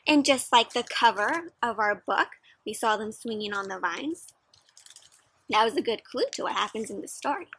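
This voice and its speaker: A young woman reads a picture book aloud to children in a high, bright voice. Her delivery is expressive and interactive, often turning to question the listener, and her voice has a slightly husky, breathy edge. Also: moderate pace, no room sound. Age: young adult. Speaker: children's story reader. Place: outdoors in a backyard with play structure.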